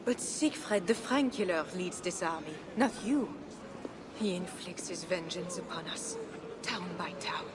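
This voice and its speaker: Medieval queen for a game drama: measured, pious, compassionate, formal, set against clear background noise. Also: lowish voice, lively intonation. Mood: reproachful. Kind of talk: dramatic video game acting.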